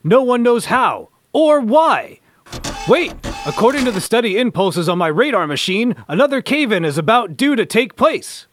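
Man as high-pitched, earnest superhero scientist leader. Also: big pitch swings, normal speed, faint music behind. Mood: earnest, commanding. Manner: speechifying, declamatory. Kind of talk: hobby comic-book radio play acting.

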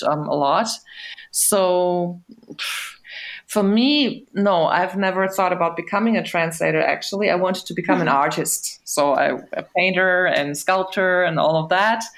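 A woman guest translator speaking in a relaxed, giggly way with many fillers in casual conversation. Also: fairly low pitch.

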